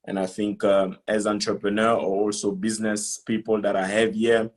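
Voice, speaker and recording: A middle-aged man from the Democratic Republic of Congo giving a business presentation on a video call, speaking in a halting, deliberate way. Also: lowish voice, little pitch movement. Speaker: conference speaker, company founder.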